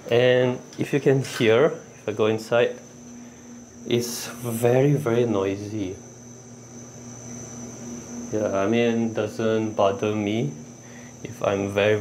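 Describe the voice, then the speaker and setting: A man's voice: on the low side, poor audio. A man vlogging casually with a handheld camera, talking loosely with many fillers, first in a hotel room and then out on a city street. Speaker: travel vlogger. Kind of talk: casual walking vlog. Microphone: handheld camera on small tripod. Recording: loud street and room noise.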